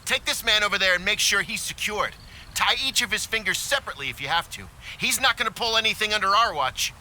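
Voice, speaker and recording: A brisk guild guard. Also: male voice, sits high, expressive, sharp tone, slight background noise, heavily acted, rough recording. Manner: brisk, commanding to underlings.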